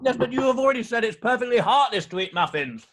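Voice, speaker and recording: Seeking a male actor for a glib dandy in period stage comedy, high and very animated in pitch. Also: fast talker, full character voice. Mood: nonchalant, defensive. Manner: airy, glib, mock-serious. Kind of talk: rehearsed reading of a Victorian stage comedy.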